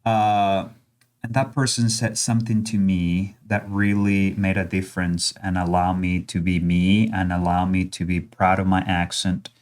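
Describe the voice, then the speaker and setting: A man speaking accented English as an interview guest, his voice on the low side. He talks in an earnest, encouraging way, often pausing to check in with his listener. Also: little pitch movement, a little mellow. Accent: non-native accent in English. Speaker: college language instructor guest. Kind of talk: conversational interview.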